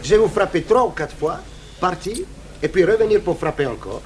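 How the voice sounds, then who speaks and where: A high-pitched, excitable portly witness. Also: male voice, fairly quick. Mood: emphatic, defensive. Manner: colloquial, emphatic. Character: fat, excitable male witness, plain-spoken and defensive. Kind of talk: 1980s French radio drama acting. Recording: noisy old radio recording.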